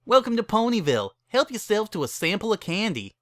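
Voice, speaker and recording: A man's voice: high-pitched, big pitch swings, a little fast, no room sound, voice pushed up. A man voicing a polite, sweetly welcoming female pony shopkeeper with salesy cheer. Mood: cheery, welcoming.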